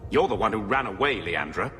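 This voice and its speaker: A man's voice: on the low side, animated, a little fast, lightly acted. A man playing a shabby, resentful middle-aged uncle in a video game, aggrieved and self-justifying. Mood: bitter, defensive. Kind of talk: dramatic video game acting. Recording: long reverb with background music.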